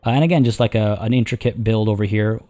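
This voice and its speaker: A man with a hoarse, tiring voice, chatting casually as he plays a zoo-building game and rambling through his thoughts. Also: fairly low pitch, fast, dark tone. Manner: rambling, chatty, many restarts and fillers. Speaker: let's play host. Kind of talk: casual gameplay commentary.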